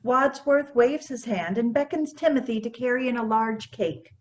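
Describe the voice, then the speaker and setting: Slightly high-pitched female stage-direction reader. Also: normal speed. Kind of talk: scripted narration.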